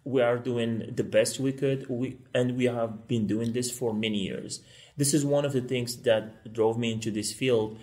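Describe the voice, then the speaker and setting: Male guest from Morocco, mid-pitched, explains quickly and earnestly on a podcast. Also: fairly even intonation, warm tone. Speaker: law school technology guest. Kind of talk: podcast interview.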